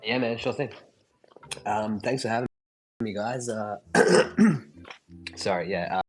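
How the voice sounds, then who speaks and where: A man's voice: lowish voice, slow, slight background noise. An Australian man in his mid-thirties, a guest artist, speaking hesitantly with ums and some self-deprecating humor. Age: 30s.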